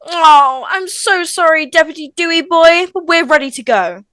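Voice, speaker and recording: A woman's voice: extremely high pitch, expressive, normal speed, pushed and bright, no echo, full character voice. A woman playing a brash, impatient teenage girl in an amateur script read, sassy and whiny. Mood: mocking.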